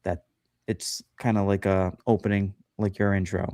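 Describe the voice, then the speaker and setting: Low-voiced man chatting loosely. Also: little pitch movement, normal speed, warm tone, no echo, clean studio sound. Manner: rambling, filler-heavy, self-deprecating. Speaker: guest, podcast host. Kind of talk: casual chat on a livestream.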